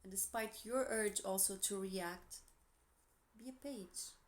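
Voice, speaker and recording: A woman with a Portuguese accent, gentle and encouraging, giving a tarot reading with soft asides and self-corrections. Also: mid-range voice, takes it slowly. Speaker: tarot reader.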